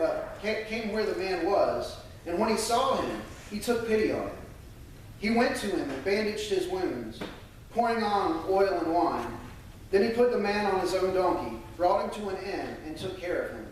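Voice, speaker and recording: An American man speaking earnestly and a little haltingly to a congregation, as a church member sharing testimony and scripture. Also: fairly high pitch. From United States. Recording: very echoey room, distant mic, faint noise.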